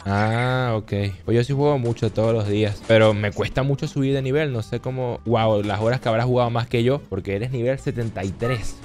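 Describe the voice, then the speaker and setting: A young man from Venezuela doing casual, jokey gaming commentary into a boom mic in a small home studio. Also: a little fast, few pauses, faint music behind. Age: young adult. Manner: excitable, jokey, then chatty with teammate. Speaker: gaming youtuber. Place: small bedroom studio indoors. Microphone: boom mic with headphones.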